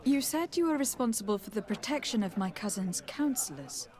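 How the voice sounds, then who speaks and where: A woman's voice: fairly high pitch, animated, brisk, darkish tone, natural voice. A woman playing a poised, polite young noblewoman making enquiries in a video game. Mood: polite probing. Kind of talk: video game dialogue acting.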